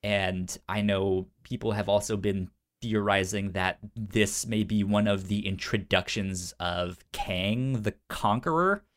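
A man co-hosting a casual pop culture podcast, talking in a halting way with a pronounced stutter that repeats sounds and syllables. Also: lowish voice. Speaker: podcast co-host.

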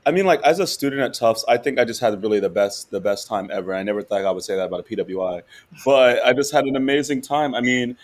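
Animated, laughing law professor guest. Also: male voice, medium pitch, quick pace. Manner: animated, laughing, frequent "right?". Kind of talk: casual interview conversation.

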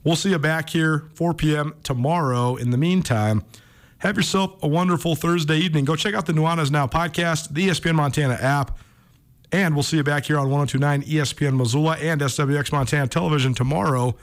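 A man's voice: on the high side, a little fast. Man hosting conversational sports radio. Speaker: sports radio show host.

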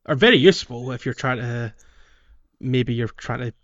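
A man's voice: mid-range voice, fairly bright tone. Guy chatting games on a podcast, stop-start and repeating himself, kinda dull lo-fi sound. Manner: halting, repeats words as he thinks. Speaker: podcast co-host. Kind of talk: casual video game chat.

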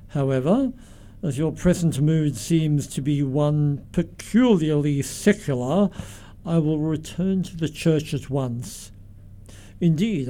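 Fussy scholarly clergyman man acting, soft mellow tone, lightish pitch, pedantic, radio play. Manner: pedantic, unctuous, formal. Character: pompous, mild, scholarly country clergyman. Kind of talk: stage comedy radio acting.

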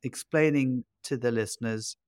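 Older British male host with a mid-range voice and dry sound, stammering gently and chuckling. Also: medium pitch, clear, resonant tone, dry recording. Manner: halting, repeats words, chuckles. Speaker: podcast host. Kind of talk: conversational interview.

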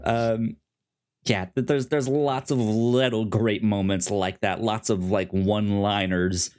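Slow, halting man on a podcast. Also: lowish voice, steady tone, darkish tone. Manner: halting, repeats words and syllables. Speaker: podcast co-host. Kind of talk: casual podcast chat.

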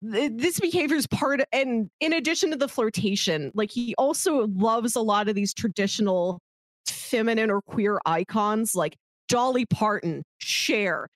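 A woman's voice: on the high side, lively intonation, normal speed, no echo. A woman co-hosting a podcast, talking casually and chattily about films, often laughing as she talks. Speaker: podcast co-host. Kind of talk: casual film discussion.

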